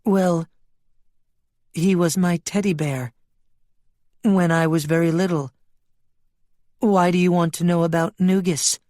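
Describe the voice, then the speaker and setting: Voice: medium pitch, steady tone, slow, pauses often, a little mellow, no echo, studio quality, voice pushed up, natural voice. A narrator voicing an earnest, pleading female sheep with a woman's mind in an audiobook story. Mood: puzzled, hurt.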